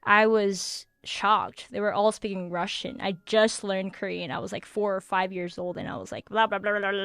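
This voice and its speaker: A woman's voice: mid-range voice, fairly bright tone, no room sound. A young woman hosting a casual video podcast, chatty and full of questions, using "like" a lot. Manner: chatty, asks questions with filler "like". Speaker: host.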